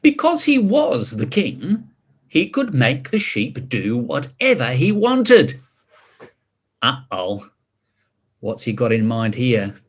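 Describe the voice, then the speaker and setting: A man reading a picture book to children, expressive, drawing out words and adding chatty asides and questions. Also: medium pitch, slow, pauses often, breathy edge. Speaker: story reader.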